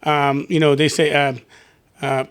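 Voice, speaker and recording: Halting middle-aged man, fairly high voice. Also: level tone, a bit bright, smooth. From Canada. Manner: halting, many fillers and restarts. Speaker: guest, basketball coach. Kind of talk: casual interview chat.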